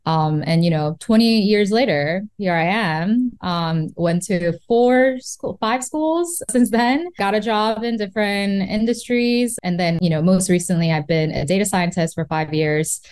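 A woman's voice: medium pitch, unhurried, few pauses, darkish tone, clean tone. A woman in her thirties from South Korea, chatting casually as an interview guest and often tagging "right?" onto her points. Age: 30s. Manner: chatty, many fillers like "right?" and "you know". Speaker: guest, real estate investor.

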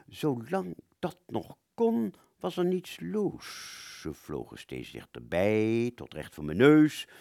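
A man's voice: on the low side, dry recording, clean studio sound. A man playing a rambling, agitated wartime fighter pilot who relives battle in a stammering, dramatic radio play monologue.

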